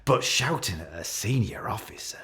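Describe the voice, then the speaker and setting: An actor playing a pompous, self-pitying middle-aged British senior police officer making a halting, passive-aggressive speech in a radio play. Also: male voice, fairly low pitch, animated, bright, forceful tone. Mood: aggrieved, self-righteous.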